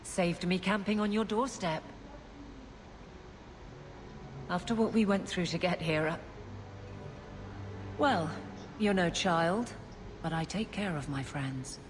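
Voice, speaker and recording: A woman's voice: on the low side, a little slow, long pauses, noisy background, lightly acted. An actress playing a blunt, dutiful, world-weary city guardswoman in a video game, plain-spoken and dry. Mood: brisk, wry.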